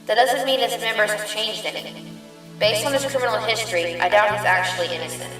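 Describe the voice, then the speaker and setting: A woman's voice: medium pitch, fairly quick, bright, forceful tone, distant mic, lightly acted. A woman voicing an earnest young female rabbit police officer, perky and easily exasperated, in an audio drama. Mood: weary, distrustful. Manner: perky, to the point. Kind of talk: fan audio drama acting. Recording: very echoey room, faint music.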